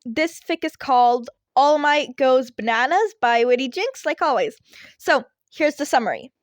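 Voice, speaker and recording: A woman's voice: sits high, animated, unhurried, dry recording, clean studio sound. A woman reading fan fiction aloud as scripted narration.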